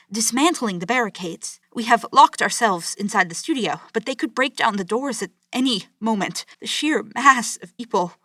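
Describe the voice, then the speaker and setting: A woman's voice: on the high side, fairly bright tone, no echo. A woman playing a grave, formal news anchor delivering urgent bulletins in an audio drama. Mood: alarmed. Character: grave female news anchor reporting a city's collapse.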